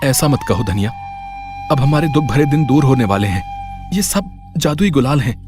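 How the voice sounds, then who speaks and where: A man acting an earnest, animated poor woodcutter. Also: on the high side, normal speed. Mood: hopeful, urgent. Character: poor, earnest, kindly young woodcutter husband. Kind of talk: Hindi moral story voice acting. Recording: music behind the voice.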